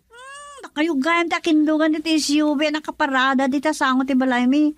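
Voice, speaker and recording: A woman's voice: high voice, a little fast, slight background noise, lightly acted. A woman acting a bubbly, affectionate young daughter in a radio drama, with a Filipino accent. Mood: excited, teasing.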